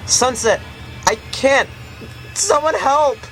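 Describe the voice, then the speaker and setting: A woman's voice: high voice, animated, takes it slowly, faint music behind, pitched above the performer's own voice, poor audio. A man voicing a shy, earnest teenage girl who mumbles at first and later cries out in panic. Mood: panicked. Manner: mumbling and earnest, then frantic shouting.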